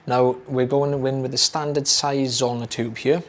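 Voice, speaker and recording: A young British man, an audiologist, explaining a procedure to camera in a relaxed, chatty way with many fillers and repeated words. His voice is slightly hoarse, as if he is losing it. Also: medium pitch, moderate pace.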